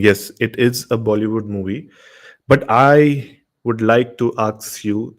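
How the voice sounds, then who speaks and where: A man with an Indian accent informally hosting a horror show and telling stories, often repeating words and phrases. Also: medium pitch. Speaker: host of a horror radio show.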